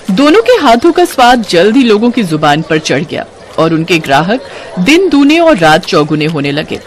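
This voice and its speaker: A female storyteller reads scripted tales expressively. Her mid-range voice moves with very lively intonation. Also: mid-range voice, slight background noise.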